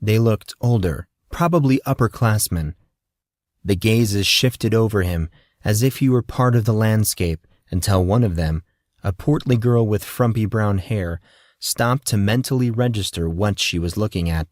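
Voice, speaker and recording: A man's voice: lowish voice, unhurried, darkish tone, dry recording, clean studio sound. A man narrating scripted fiction as an audiobook storyteller.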